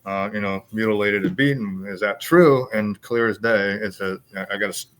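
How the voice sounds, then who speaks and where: Middle-aged male podcast host, lower voice, rambling anecdotal delivery. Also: moderate pace, a bit bright, slight background noise. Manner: rambling, filler-heavy storytelling. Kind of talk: casual chat, storytelling.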